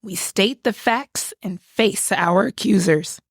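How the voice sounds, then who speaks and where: A woman's voice: medium pitch, takes it slowly, vocal fry, no room sound, studio quality. A woman playing a dignified, resolute book puppet in a musical show, with a firm, declarative delivery.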